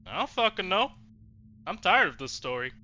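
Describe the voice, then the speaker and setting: A man doing expressive, emotional gameplay commentary as a streamer, casual and sweary. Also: high voice, normal speed. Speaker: let's play streamer. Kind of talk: gameplay commentary with reading aloud of dialogue choices. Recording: dull lo-fi mic, faint noise.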